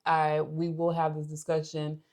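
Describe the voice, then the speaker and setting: A young woman with an American accent co-hosting a relationship show, explaining things casually and haltingly as she thinks aloud. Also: low-pitched, monotone, darkish tone. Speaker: co-host of a couples' relationship show.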